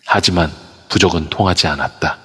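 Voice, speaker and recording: A man narrating an amateur audio drama in a steady storytelling manner. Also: sits low, brisk, slightly rough. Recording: long reverb over a voice app.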